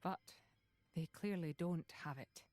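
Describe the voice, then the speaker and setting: A woman's voice: lowish voice, measured pace, darkish tone, no echo, lightly acted. A woman playing a serious, knowledgeable companion in a video game, composed and explanatory. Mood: explaining. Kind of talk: video game cutscene acting.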